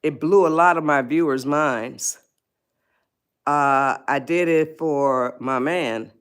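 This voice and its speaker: A woman of eighty-one, chatting slowly to viewers on her live stream, often losing her place and laughing. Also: low-pitched, smooth. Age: 80s.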